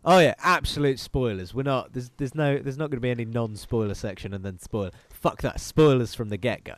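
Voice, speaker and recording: A man's voice: medium pitch, dry recording. Congested, sweary man chatting. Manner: rambling, sweary, quick to laugh. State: congested, bunged-up nose. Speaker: podcast co-host. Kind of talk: casual chat.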